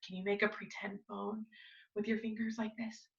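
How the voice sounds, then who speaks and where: A woman reading a picture book aloud to young children like a teacher, with expressive, dramatic emphasis and coaxing questions. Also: medium pitch, moderate pace, vocal fry, dull audio, noisy background. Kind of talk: animated children's storytime read-aloud with asides and questions.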